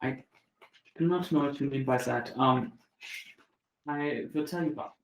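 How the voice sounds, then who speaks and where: A man voicing a wary male werewolf guard with a German accent, speaking in halting phrases in an echoey room. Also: medium pitch, a little hiss or hum, lightly acted. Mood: stern.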